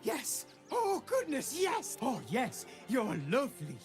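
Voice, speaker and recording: A man voicing a flustered, anxious and excitable character in a video game, fretful and breathlessly eager. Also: very high-pitched, slow, full character voice. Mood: frantic, giddy. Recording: music behind the voice.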